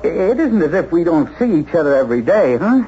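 An actor playing a hesitant, penny-pinching, practical fiancé in a 1970s radio drama. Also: male voice, a little high, very animated, fairly quick, dark tone, breathy edge. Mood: sheepish, frugal. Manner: hesitant, fussy. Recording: muffled, noisy 1970s radio recording.

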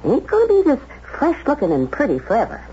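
A woman's voice: sits high, wildly swooping pitch, quick pace, mellow, breathy edge, heavily acted. An actress playing a brassy, meddling cleaning woman in a 1970s radio drama, slangy and blunt as she hands out advice. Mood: urgent, needling. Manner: slangy, blunt, wheedling know-it-all. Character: brassy, streetwise, meddling cleaning woman, woman married to an older man. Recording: dull vintage radio recording, faint noise.

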